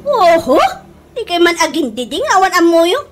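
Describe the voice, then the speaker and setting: Very high-pitched scolding Ilocano woman, acted by a woman. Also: big pitch swings, normal speed, a crowd in the background. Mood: scolding, mocking. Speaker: radio drama actor. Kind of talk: Ilocano comedy radio drama acting.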